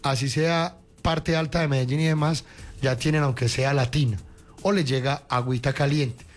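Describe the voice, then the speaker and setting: A man's voice: medium pitch, fairly even intonation, moderate pace. A man in his fifties with a Paisa Colombian accent hosts radio news, moving between reading stories and colloquial chat. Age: 50s. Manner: conversational, colloquial with diminutives. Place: radio studio. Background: music under the voice.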